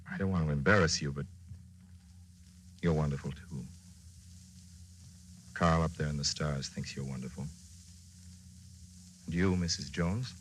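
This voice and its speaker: An actor narrating a solemn, oratorical patriotic radio drama in 1940s style. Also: male voice, a bass voice, fairly bright tone. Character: solemn, stirring male narrator of wartime America. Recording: noisy old 1940s radio recording.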